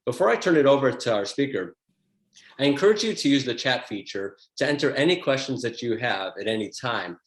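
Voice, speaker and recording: A man's voice: medium pitch, lively intonation, a little fast. A man hosting a webinar over a video call, politely explaining how to send questions and introducing the guest speaker. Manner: polite, instructive. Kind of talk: moderator announcements. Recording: echoey room on a call.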